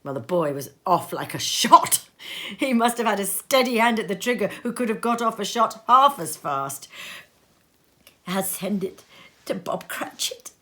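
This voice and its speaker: A woman reading a classic tale aloud at home in her sitting room, telling it in a lively, expressive way. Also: a little high, normal speed. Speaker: reader.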